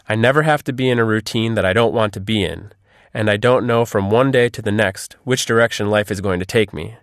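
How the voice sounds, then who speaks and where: A man with a fairly low voice plays the guest in an interview. He delivers prepared, read-aloud answers in a dry recording with no echo.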